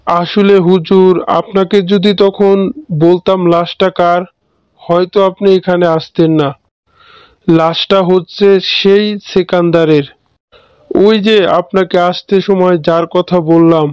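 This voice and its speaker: A man acts out a worried household servant in a cartoon ghost tale. The voice is high and clean, humbly explaining, in a muffled recording with music underneath. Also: steady tone, unhurried, clear, resonant tone, dry recording. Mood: confessing, deferential. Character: worried deferential male household servant explaining a strange death.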